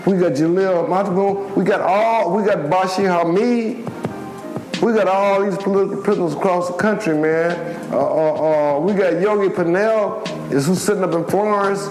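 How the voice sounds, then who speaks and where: Older male activist, impassioned and colloquial, voice slightly high, recorded in an echoey space. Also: normal speed, darkish tone. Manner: emphatic, colloquial, repeats phrases for emphasis. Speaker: political activist and former militant speaking. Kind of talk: impassioned political speech. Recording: echoey room with music behind.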